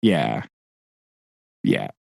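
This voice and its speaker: A man's voice: a bass voice, big pitch swings, very slow, gravelly, darkish tone, no room sound, studio quality. A man co-hosting a podcast, casually retelling a novel's events with frequent "right?" checks and occasional laughs. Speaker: podcast co-host. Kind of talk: casual book discussion.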